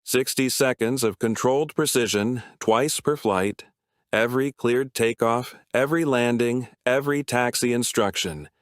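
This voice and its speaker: A man delivers scripted documentary narration at a medium pitch. He is serious and measured, setting out short, weighted phrases one after another. The sound is dry, with no room around the voice. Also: no room sound.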